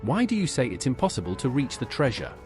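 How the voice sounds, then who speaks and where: A man's voice: mid-range voice, huge pitch swings, quick pace, darkish tone. A man voicing an earnest, inquisitive adventurer in a fantasy video game, polite and questioning. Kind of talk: AI-voiced fantasy game dialogue. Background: game music.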